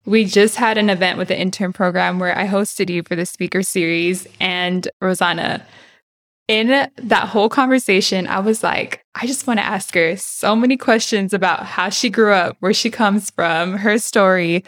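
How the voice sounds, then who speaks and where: A woman's voice: medium pitch, moderate pace, a bit bright. Effusive woman podcast host. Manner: effusive, mixes Spanish phrases into English. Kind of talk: warm enthusiastic interview chat.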